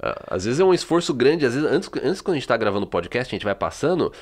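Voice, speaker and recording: A man's voice: mid-range voice, big pitch swings, fast. A Brazilian man co-hosting a podcast, telling stories in a rambling, casual way with many restarts. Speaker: podcast co-host. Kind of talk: casual chat. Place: home.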